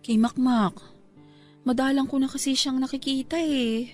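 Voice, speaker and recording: A gentle worried pregnant neighbor. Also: female voice, sits high, steady tone, normal speed, soft background music. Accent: Filipino. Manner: polite, pleading, earnest. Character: pregnant young woman, kind worried neighbor. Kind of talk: radio drama acting.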